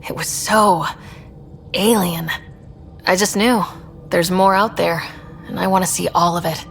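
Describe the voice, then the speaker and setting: A woman's voice: lowish voice, fairly even intonation, measured pace, gravelly, faint music behind. A woman playing a curious, friendly young space explorer who leads a crew in a video game. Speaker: expedition leader.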